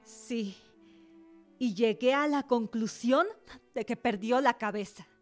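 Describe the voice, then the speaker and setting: A cultured young revolutionary in a radio drama. Her voice is fairly high, assured and questioning, full of independent-minded resolve, with soft music behind it. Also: takes it slowly. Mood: resolute.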